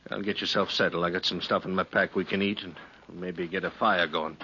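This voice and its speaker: An actor playing a gruff, lonely but kind drifter of the Old West, with a folksy rural American accent, in a 1950s radio western. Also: male voice, low-pitched, steady tone, pushed and bright. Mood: resigned, shy. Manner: folksy, halting, insistent. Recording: noisy, muffled old radio recording.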